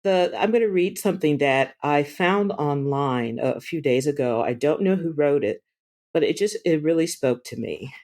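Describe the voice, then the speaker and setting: A woman hosting a podcast, speaking in an earnest, reflective and gently enthusiastic way. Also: low-pitched, lively intonation, normal speed. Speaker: podcast host. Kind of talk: conversational podcast interview.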